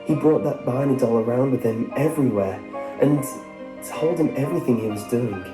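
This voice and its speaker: A man reading a scripted first-person story as a narrator, with a dark, muted tone. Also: medium pitch, normal speed. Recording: roomy sound with music behind. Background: background music.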